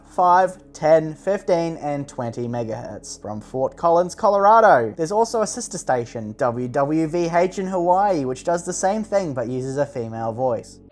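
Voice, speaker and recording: Explainer video narrator, medium pitch with very animated delivery. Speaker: video presenter.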